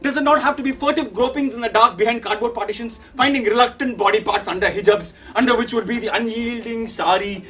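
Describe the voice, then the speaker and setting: Man acting a high-voiced, theatrical young Indian man. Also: fast talker, few pauses, far from the mic. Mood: yearning. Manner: theatrical, declamatory, swinging from hushed to shouting. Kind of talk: dramatic monologue storytelling.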